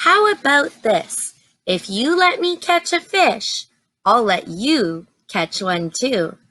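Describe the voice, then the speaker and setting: A stubborn, easily annoyed storybook bear, voiced by a woman reading aloud. The voice is bright and on the high side, with slow, emphatic delivery that suits a children's tale. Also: very animated, no echo, heavily acted, poor audio. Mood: bargaining. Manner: emphatic, exclaiming and sighing.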